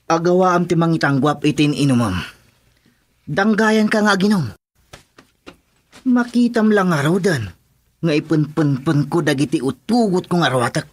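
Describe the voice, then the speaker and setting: A man's voice: on the high side, lively intonation, normal speed, long pauses. A man playing a wary henchman who takes orders from his boss in a radio drama. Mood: defensive.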